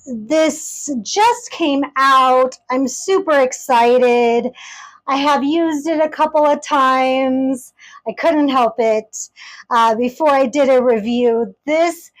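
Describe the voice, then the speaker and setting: A woman's voice: sits high, rough recording. A woman reviewing a tarot deck in a casual, chatty way, gushing with enthusiasm and talking straight to her viewers. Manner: chatty, addresses viewers directly.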